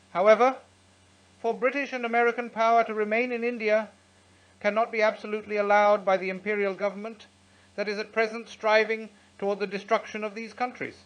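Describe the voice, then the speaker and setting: A dull, lo-fi recording carries a man's notably high voice. He delivers a scripted political address in a formal, declamatory way, as a wartime speech read aloud. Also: a bit bright. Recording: dull lo-fi sound.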